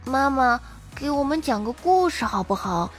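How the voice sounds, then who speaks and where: A woman's voice: high voice, animated, normal speed, husky, soft background music. A woman playing a frightened, trusting small child in an audio drama, with a childlike, plaintive manner. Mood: timid, trusting.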